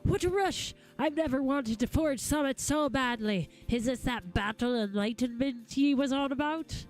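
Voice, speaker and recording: An earnest, eager fighting man from a fantasy game, read by a woman. The lines come out dramatically in a high register over faint music. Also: moderate pace, close to an everyday voice, rough recording. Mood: exhilarated. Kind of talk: live read-aloud of game dialogue.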